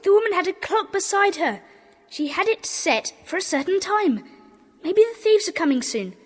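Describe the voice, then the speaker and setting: A woman's voice: very high voice, sing-song, normal speed. A woman playing a bold, bossy, eager schoolboy detective in a children's audio drama. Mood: urgent. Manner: eager, urgent, exclamatory. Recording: long echo of a stone dungeon.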